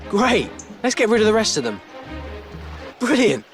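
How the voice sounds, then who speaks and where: A man's voice: high-pitched, big pitch swings, normal speed, over music. An actor playing a cheeky, grumbling teenage boy wizard with a British accent in a video game. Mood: cheeky, upbeat. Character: teenage boy wizard, grumbling, joking, plain-spoken best friend. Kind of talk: video game cutscene acting.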